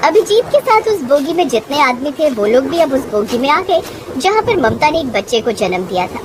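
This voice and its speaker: A woman's voice: sits high, big pitch swings, brisk, background noise. A woman narrating a scripted moral story as a storyteller.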